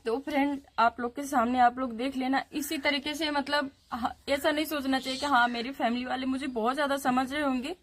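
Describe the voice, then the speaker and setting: A woman's voice: sits high, fairly even intonation, fairly quick, few pauses. A young woman with a Bhojpuri accent, a YouTuber talking in an insistent, complaining way. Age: young adult. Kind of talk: phone argument and talk to viewers.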